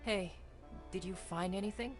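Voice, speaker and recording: A woman's voice: medium pitch, normal speed, faint music behind, close to an everyday voice. A woman voicing a timid, flustered young man in a mystery visual novel, with a hesitant manner. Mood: uneasy.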